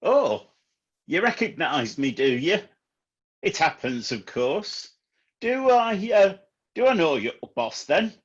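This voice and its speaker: A man's voice: on the high side, big pitch swings, takes it slowly, husky, dull audio, exaggerated voice. An actor playing a pompous, exasperated businessman and long-suffering son in a comedy radio play. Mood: indignant. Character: exasperated, self-important businessman son of deaf mother.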